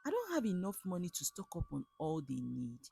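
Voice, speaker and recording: A woman's voice: on the low side, wildly swooping pitch, fairly quick, gravelly, warm tone, slightly rough, faint music behind, heavily acted. A woman with a Nigerian accent voicing a desperate, naive male shop owner in a folktale, plaintive and earnest. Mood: despondent lament. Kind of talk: storybook folktale character.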